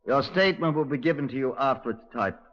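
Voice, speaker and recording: An actor in a 1950s radio drama playing a dry, stern Scotland Yard inspector, formal, terse and deadpan. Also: male voice, medium pitch, fast, close to an everyday voice. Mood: dry, official. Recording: dull lo-fi 1950s radio recording.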